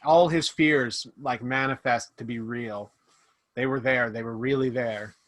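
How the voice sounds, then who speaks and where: A man's voice: mid-range voice, steady tone, fairly bright tone, no room sound. A man narrating a tabletop game as game master over a webcam mic at home. He stretches words out for effect as he describes scenes. The sound is dry and close. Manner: slow, drawn-out descriptive narration. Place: home room indoors. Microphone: video call webcam mic.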